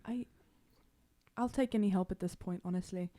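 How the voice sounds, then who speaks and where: A woman's voice: mid-range voice, moderate pace, dark tone, no echo, studio quality. A woman playing a tabletop roleplaying game, improvising casually and often speaking in character.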